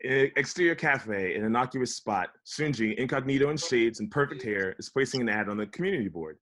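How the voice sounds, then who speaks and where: A man's voice: medium pitch, lively intonation, a little fast, a bit bright. Man narrating script stage directions. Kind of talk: scripted narration at a table read.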